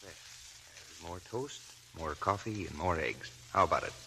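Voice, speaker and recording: An actor playing a kind, awkward young army lieutenant in a 1940s radio play, hesitant and stammering. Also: male voice, low-pitched, unhurried, background noise. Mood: earnest. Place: radio studio.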